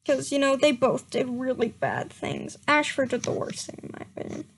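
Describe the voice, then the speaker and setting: A woman's voice: high-pitched, big pitch swings, normal speed, gravelly, a little hiss or hum. A young woman giving a mocking dramatic reading of a fan story, with sarcastic asides and frequent giggles. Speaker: reader.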